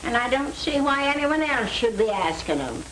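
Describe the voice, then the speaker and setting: A woman's voice: on the high side, sing-song, moderate pace. An actress playing a brash, surly older landlady in a 1930s film, blunt and slangy. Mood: irritated, dismissive. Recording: noisy, echoey 1930s film soundtrack.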